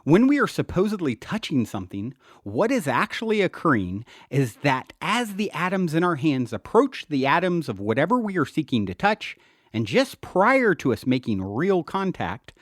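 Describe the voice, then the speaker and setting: A man's voice: fairly high pitch, huge pitch swings, normal speed, dry recording, clean studio sound. A man reading his own book aloud as scripted narration, in an earnest, emphatic manner.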